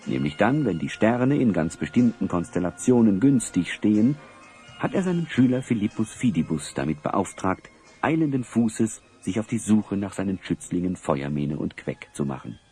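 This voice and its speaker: A man with a fairly low voice tells a scripted fantasy tale as narrator. His delivery moves expressively up and down, with some music underneath. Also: big pitch swings, moderate pace, mellow. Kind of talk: scripted storybook narration for an audio play.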